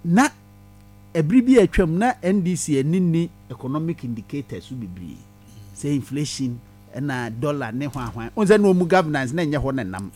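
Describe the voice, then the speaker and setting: Analytical Ghanaian commentator, lively pitch. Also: male voice, a little fast, faint music behind. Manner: analytical, explaining economics in Twi mixed with English terms.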